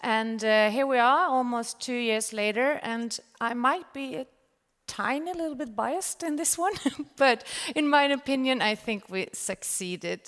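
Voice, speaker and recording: A woman's voice: fairly high pitch, unhurried, fairly bright tone. A woman giving a prepared conference presentation. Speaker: conference speaker. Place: large conference hall. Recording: reverberant hall.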